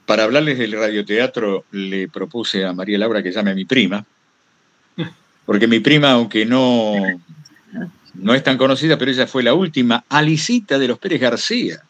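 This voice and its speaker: A man's voice: mid-range voice, normal speed, a bit bright, no echo. A man with an Argentine accent talking informally to a workshop group as a guest, anecdotal, hesitant and self-deprecating. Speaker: guest speaker, radio host.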